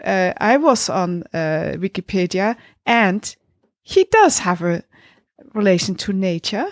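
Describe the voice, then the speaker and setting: A woman from the Netherlands in a casual intellectual conversation, halting as she thinks aloud. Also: mid-range voice, big pitch swings, no echo, clean studio sound. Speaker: panel discussion participant.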